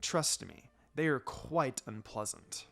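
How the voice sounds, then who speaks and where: A man voicing a stern ninja teacher who gives instructions in a measured, pleasant, faintly menacing way. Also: mid-range voice, big pitch swings, vocal fry, a bit bright, voice pushed down, heavily acted. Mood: calm, instructing. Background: background music.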